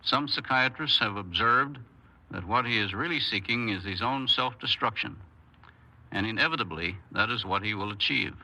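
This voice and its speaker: A man's voice: fairly low pitch, normal speed, pushed and bright, low-quality recording. A man from the United States giving formal, solemn scripted radio commentary as a corrections official.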